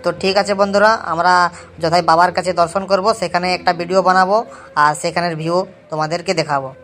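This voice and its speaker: A young male pilgrim from an amateur cartoon, acted out by a man, with a notably high voice. He speaks, repeating himself to reassure and sounding devout. Faint music sits underneath. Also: fairly even intonation, a little fast, a bit bright. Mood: reassuring, devout. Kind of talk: amateur cartoon dialogue, vlog-like.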